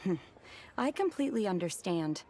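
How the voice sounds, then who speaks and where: A woman playing a polite, reserved young disciple in a video game, composed and earnest, with a gentle, careful, formal manner. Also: medium pitch, very animated, normal speed. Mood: understanding. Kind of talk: video game character acting. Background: game music.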